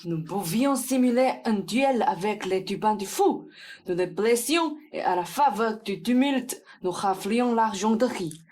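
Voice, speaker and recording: A woman's voice: medium pitch, lively intonation, unhurried, a little mellow. A young woman playing a pompous, theatrical older male swindler in a student radio play. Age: young adult.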